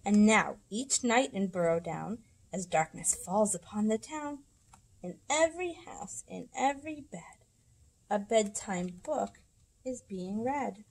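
Lady reading a rhyming kids book, slow n dramatic, really swoopy pitch. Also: expressive, gravelly, darkish tone, poor audio. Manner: dramatic, deliberate storybook phrasing. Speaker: librarian reading picture books aloud. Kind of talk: expressive rhyming read-aloud for children. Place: indoors in a library room.

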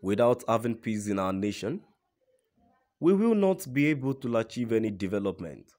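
Low-pitched man reading news. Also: sing-song, normal speed, a little mellow. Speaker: news narrator. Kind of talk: scripted news narration.

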